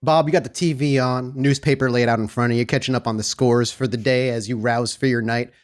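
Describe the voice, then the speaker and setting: Mid-pitched man as game master. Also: steady tone, brisk. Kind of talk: narrating a tabletop roleplaying game.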